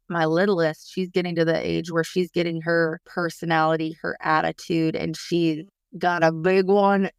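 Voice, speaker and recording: A woman in her thirties hosts a podcast in a casual, animated way, with slangy asides. Her voice is clear and smooth at a middle pitch. It is recorded close and dry. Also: no echo. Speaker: podcast host.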